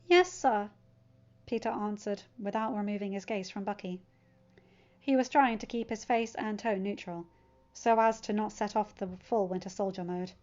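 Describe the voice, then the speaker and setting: A woman's voice: mid-range voice, fairly even intonation, normal speed, a little mellow. A woman reading scripted fiction aloud as a story narrator. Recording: slightly dull, lo-fi sound.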